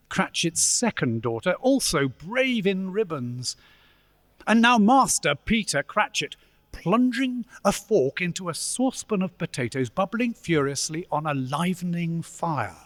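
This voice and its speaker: A man playing a storyteller, narrating a Victorian tale with theatrical, deliberate delivery in an echoey church. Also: sits high, exaggerated voice. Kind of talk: dramatic scripted narration. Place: church, live performance. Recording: echoey church hall, long reverb.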